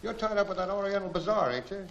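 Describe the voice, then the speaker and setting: An actor playing a cool, scheming crook who gives gang orders in a 1940s film serial, matter-of-fact, on a hissy soundtrack. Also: male voice, high-pitched, fast, vocal fry, fairly bright tone, dull audio. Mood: commanding. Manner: clipped, matter-of-fact, commanding.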